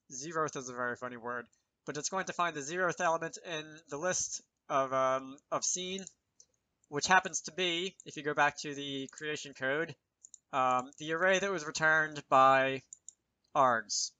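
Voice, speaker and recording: A man's voice: fairly high pitch, pushed and bright, no echo, poor audio. A man presenting an informal programming tutorial, thinking aloud with self-deprecating asides as he explains. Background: keyboard typing and mouse clicks.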